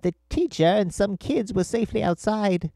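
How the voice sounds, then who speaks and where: A man reading a children's story in an expressive, unhurried storytelling manner. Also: sits high, gravelly, no echo, clean studio sound. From Britain. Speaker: storybook reader. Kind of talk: scripted children's story narration. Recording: music playing behind the narration. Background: music.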